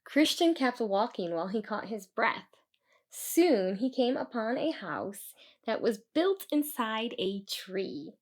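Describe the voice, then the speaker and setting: High, animated woman reading a children's story. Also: takes it slowly. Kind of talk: expressive children's story narration.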